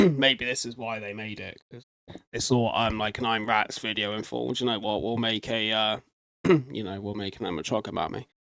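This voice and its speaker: A man's voice: on the low side, steady tone, a little fast, gravelly, a bit bright, a little hiss or hum. A man hosting a reaction video in a casual, chatty way, rambling and talking straight to his viewers. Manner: rambling, addresses viewers directly.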